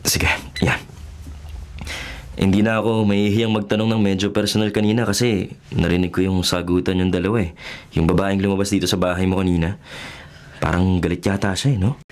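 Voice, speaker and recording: A man's voice: low voice, level tone, a little fast, pauses often, close to an everyday voice. A man acting in a Filipino radio drama as a polite, inquisitive young journalist, courteous and tentative in a conversational way. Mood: polite, curious. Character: polite, inquisitive male journalist visiting a small town.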